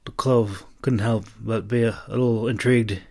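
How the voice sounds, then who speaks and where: A man narrating a fantasy audiobook, reading scripted prose with some halting stumbles and restarts. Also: on the low side, steady tone, vocal fry, a little mellow.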